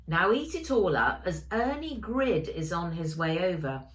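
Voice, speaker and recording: A woman's voice: fairly low pitch, very animated, unhurried. A woman voicing a kindly, fretful old grandpa in a children's storybook, fussing and caring. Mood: bossy, proud.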